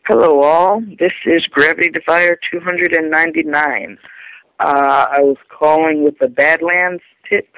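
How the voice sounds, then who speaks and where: A man leaving a voicemail game tip as a caller, speaking haltingly. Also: a little high, fairly even intonation, fairly bright tone, clean tone, dry recording, poor audio.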